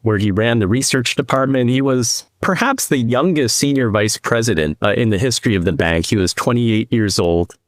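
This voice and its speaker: A man in his fifties from New Jersey, speaking at an ordinary middle pitch. He is a guest telling his own story in long, reflective runs, calm and steady. The recording is dry and close, with no room sound. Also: moderate pace, few pauses, dry recording. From New Jersey, US East Coast. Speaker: podcast guest, financial advisor. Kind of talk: conversational interview storytelling.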